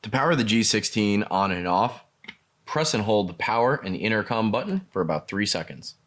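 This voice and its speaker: A man's voice: fairly low pitch, sing-song, fairly quick, fairly bright tone. A man narrating a scripted product tutorial, explaining each step plainly as a demonstrator.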